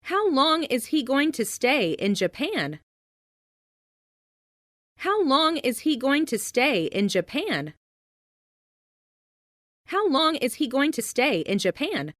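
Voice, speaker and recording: Slow, high-voiced woman reading lesson sentences. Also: big pitch swings, a bit bright, dry recording, studio quality. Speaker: English lesson narrator. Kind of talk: scripted example sentences read aloud for language learners.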